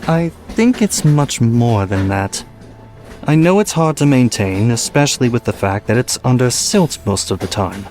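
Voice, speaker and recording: A man playing a gruff, fatalistic steam train engineer in an audio drama, speaking in a terse, blunt way. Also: mid-range voice, animated, normal speed, a little mellow, faint music behind, natural voice. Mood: pensive, wary. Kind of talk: amateur audio drama acting.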